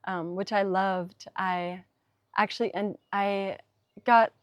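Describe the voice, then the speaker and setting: A woman's voice: medium pitch, steady tone, slow pace, no echo, clean studio sound. A young woman from Denmark, a designer, talking casually in an interview outdoors in a vineyard, reflective and full of ums and likes with light laughter. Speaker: guest designer. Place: outdoors in a small vineyard on a summer evening. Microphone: noise-cancelling mic.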